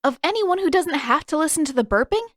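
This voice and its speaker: A woman voicing an exasperated, sharp-tongued teenage girl in a storybook, snapping and sarcastic. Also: high-pitched, lively intonation, quick pace, dry recording, studio quality, pitched above the performer's own voice. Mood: sarcastic, irritated.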